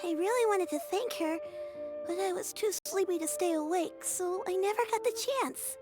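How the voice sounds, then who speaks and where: A woman's voice: very high-pitched, moderate pace. A woman voicing a sweet, earnest young girl in an anime video game, with childlike informal speech. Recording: game music behind the voice.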